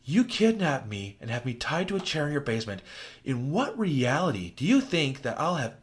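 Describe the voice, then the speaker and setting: Sharp-tongued captive woman, raised and biting, scornful; man reading the part. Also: medium pitch, sing-song, normal speed, voice pushed up, natural voice. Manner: cutting, scornful, blunt.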